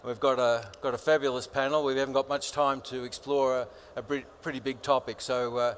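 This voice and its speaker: A man moderates a panel on a conference stage. He talks at a middle pitch, stumbling through fillers and slipping in jokes, with a faint murmur of the event hall behind him. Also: steady tone, fairly quick, a bit bright, slight background noise. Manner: hesitant, many fillers, jokey. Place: large conference stage with audience.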